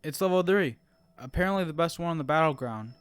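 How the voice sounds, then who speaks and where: A man's voice: a little high, lively intonation, fast, loud music behind the voice, lightly acted. A man playing an eager, earnest young fighter new to weapons, speaking casually.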